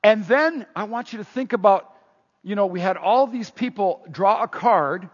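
A middle-aged man preaches with a high, husky voice, talking casually with the congregation and tossing them questions. His voice rings out in a long hall reverb, and the recording sounds dull and muffled. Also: lively intonation, normal speed. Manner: conversational, asks the congregation questions. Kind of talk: sermon.

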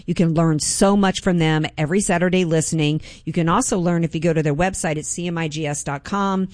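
A woman hosting a morning talk radio show, conversational with fillers, shifting into a bright sales pitch for sponsors. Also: fairly even intonation, fairly quick, no echo, studio quality. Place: radio studio. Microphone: studio mic.

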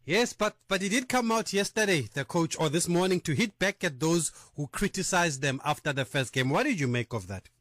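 A man hosting a radio sports show, talking in a lively way and laughing easily with his guest. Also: fairly high pitch, fairly quick, hardly any pauses, dry recording, studio quality. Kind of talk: radio interview.

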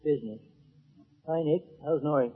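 A man's voice: a little high, normal speed, husky, narrow, tinny audio. An actor playing a folksy, nervous countryman dabbling in amateur theatre, in an old-time radio comedy. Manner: folksy, plain-spoken, stilted when declaiming. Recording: thin, band-limited old radio recording.

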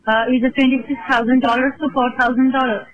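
A woman telemarketer on a phone call, speaking haltingly and saying "sir" again and again. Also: sits high, steady tone. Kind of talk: scripted sales phone call. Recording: dull lo-fi phone line.

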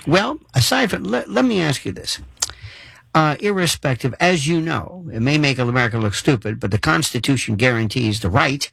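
Radio host guy, wordy and theatrical, raspy voice, really lively pitch. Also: normal speed, no echo, low-quality recording. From Florida. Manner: theatrical, wordy, mock-formal. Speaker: radio talk show host. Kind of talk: call-in radio talk.

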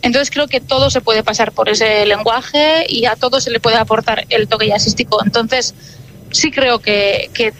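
Basque-native woman singer, high voice. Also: normal speed, slight background noise, poor audio. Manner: relaxed, thoughtful, many filler words. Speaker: jazz singer. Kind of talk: radio interview answers.

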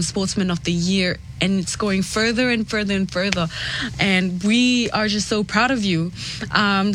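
A woman hosting a conversational interview, speaking effusively and haltingly, repeating phrases for emphasis. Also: mid-range voice, a bit bright, slight background noise, low-quality recording. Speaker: interviewer, host.

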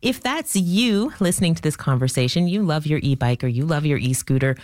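A Canadian woman hosts a radio call-in show in a close, dry recording. Her voice is lowered by a cold, and she speaks conversationally, straight to the listeners. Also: expressive, brisk, darkish tone, dry recording. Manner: conversational, addresses listeners directly. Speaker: radio phone-in host. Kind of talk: hosting a live call-in discussion.

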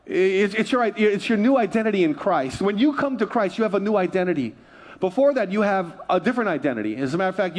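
A man from Hawaii preaching a sermon as a pastor, emphatic, repeating phrases and prompting his listeners. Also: high voice. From Hawaii, United States.

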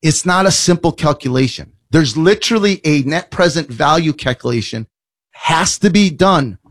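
A man's voice: on the high side, lively intonation. A man hosting a financial advice radio show, talking in a blunt, emphatic way and rattling off lists. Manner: emphatic, blunt, rapid listing. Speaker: financial advisor radio host.

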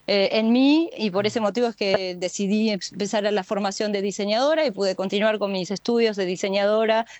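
A woman's voice: medium pitch, steady tone, brisk, few pauses, a little mellow, dry recording. Argentine woman, hesitant, many fillers. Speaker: guest. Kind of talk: phone-in interview chat.

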